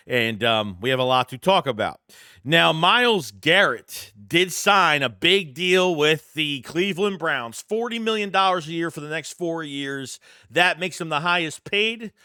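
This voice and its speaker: A man's voice: on the high side, bright, forceful tone, smooth, no room sound, clean studio sound. Stuffy-voiced man talking sports news. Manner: rambling, conversational, many fillers and restarts. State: congested, under the weather. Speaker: sports channel host. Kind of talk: casual sports news vlog.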